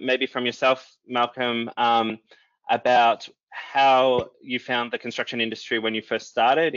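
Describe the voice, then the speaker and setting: A young man moderating a panel on a video call, relaxed and filling pauses with ums. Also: mid-range voice, dry recording. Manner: relaxed, many "um" fillers. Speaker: moderator, company chief executive. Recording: dull lo-fi video call audio.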